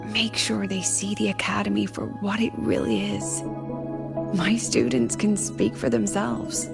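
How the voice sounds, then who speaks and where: A shrewd woman who runs an academy, speaking in a coaxing, conspiratorial way. Her mid-pitched voice has a gravelly edge of fry. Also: steady tone, unhurried, loud music behind the voice. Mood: earnest. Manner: coaxing, conspiratorial, slightly awkward. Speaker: academy professor. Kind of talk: video game voice acting.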